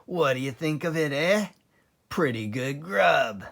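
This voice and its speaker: A man's voice: on the high side, lively intonation, measured pace, creaky, fairly bright tone, pitched above the performer's own voice, heavily acted. A man voicing a cunning, bossy, food-loving father fox in a children's storybook, with a relishing, exclamatory manner. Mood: gleeful.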